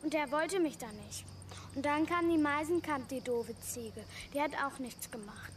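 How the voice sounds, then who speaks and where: A woman's voice: sits high, normal speed, slight background noise, natural voice. A female performer playing a whiny, insistent young girl in a radio play. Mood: sulky, indignant. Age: child.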